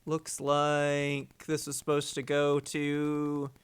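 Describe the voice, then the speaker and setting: A man's voice: fairly high pitch, monotone, takes it slowly, clean tone. A man hosting a live stream in a casual, informal way, often thinking aloud. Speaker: host of a radio listening stream.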